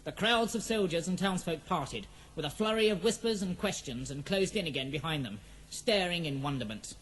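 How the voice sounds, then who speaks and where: A man's voice: on the high side, expressive, moderate pace, fairly bright tone, slight background noise, poor audio. A man playing the storyteller of an epic fantasy audio drama, giving formal, dramatic scripted narration. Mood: dramatic, measured.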